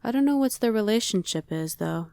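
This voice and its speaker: A woman's voice: medium pitch, expressive, a little fast, gravelly, darkish tone, clean tone, no echo, clean studio sound. A woman playing a casual young female survivor of a demon invasion in an ASMR roleplay. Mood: casual, explaining. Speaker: voice actor.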